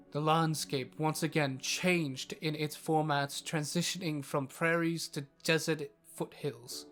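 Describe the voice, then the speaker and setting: A solemn storybook narrator voiced by a man with a slightly high voice and a flat, even delivery. He reads scripted fantasy prose slowly, with music underneath. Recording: music bed behind the voice.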